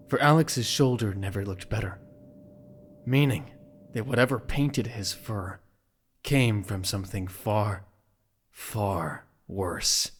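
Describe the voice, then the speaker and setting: Low-voiced male narrator over noisy ambience. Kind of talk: scripted dramatic narration.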